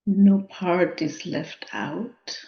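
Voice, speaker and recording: A woman guides a spiritual meditation at an unhurried pace. Her voice is mellow and relaxed, with a contemplative delivery and long pauses between short phrases. The recording sounds somewhat dull and lo-fi. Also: fairly low pitch, vocal fry.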